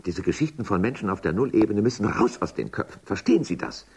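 An actor playing a capricious, cynical, world-weary official in a science fiction radio drama, moody, rambling and muttering, with a gravelly, husky voice. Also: male voice, lowish voice, animated, fast, a little mellow. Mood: agitated, brooding. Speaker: high-ranking boss.

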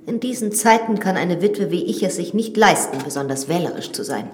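An actress playing a frank, self-assured young widowed lady in a radio drama, telling things in a measured, confiding way. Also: fairly low pitch, expressive, lightly acted. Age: young adult. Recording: studio drama with reverb and music.

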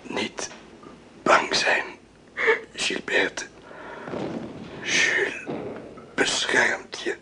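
A dying captain in a radio play. He gasps extremely slowly in a thin, sharp whisper, faint but tender and resigned. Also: full character voice, low-quality recording. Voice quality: breathy, mostly voiceless whisper. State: gravely weak, gasping. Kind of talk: radio drama acting.

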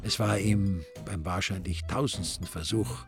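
A man's voice: sits low, unhurried, music in the background. A man with an Austrian accent narrating a radio crime play in a dry, ironic storytelling manner.

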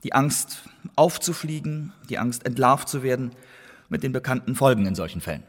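In a long, ringing hall, a defiant playwright and reluctant former informant. His voice is husky and moves widely in pitch. The radio drama delivery is emphatic and halting, with repeated phrases. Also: lively intonation. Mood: uneasy, confessing. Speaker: actor in a radio play.

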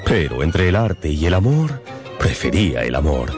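A man narrating a radio novel in a theatrical, measured and wry manner. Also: rumbling low, big pitch swings. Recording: music behind.

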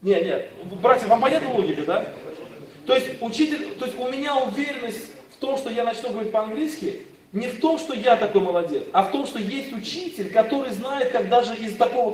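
A man preaching and answering questions from the brothers, talking in an animated way with many restarts and fillers. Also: sits high, fast, a crowd in the background. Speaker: preacher leading a Bible study. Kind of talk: informal sermon with questions and answers. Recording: echoey room, mic far off.

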